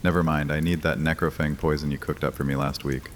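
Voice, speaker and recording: A man's voice: rumbling low, level tone, fast, a little hiss or hum. An actor playing a wry, unruffled male vampire clan leader with dry, sardonic banter in an audio drama. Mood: businesslike.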